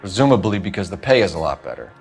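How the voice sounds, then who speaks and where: A methodical, stern detective from the 1940s pressing a suspect in clipped lines. His pitch moves a lot as he pushes. A faint music score sits behind him. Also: very animated, fast talker. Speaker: police detective.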